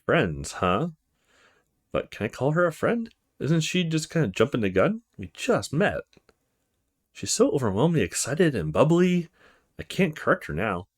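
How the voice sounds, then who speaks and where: A man's voice: on the high side, very animated, normal speed, husky. A man playing a reserved, polite young student in a visual novel, with a hesitant, thoughtful manner. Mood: unsure. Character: reserved young male student, wary, polite, inwardly musing.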